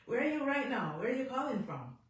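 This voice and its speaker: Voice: high voice, very animated, fast. Hyped radio DJ in a big echo. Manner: hyped, repetitive, stumbles and laughs along. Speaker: radio host and DJ. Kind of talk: radio interview hosting. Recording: very echoey, distant mic, music behind.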